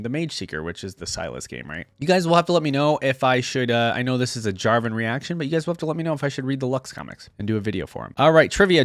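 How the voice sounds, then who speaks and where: A man's voice: medium pitch, no room sound. Man giving casual reaction commentary, dry sound. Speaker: reaction video host.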